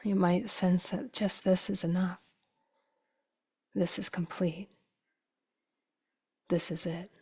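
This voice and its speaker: A woman guiding a meditation in a soft, breathy voice that often drops toward a whisper, with slow, gentle phrases and long pauses. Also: on the low side, level tone. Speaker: meditation teacher. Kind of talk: guided meditation.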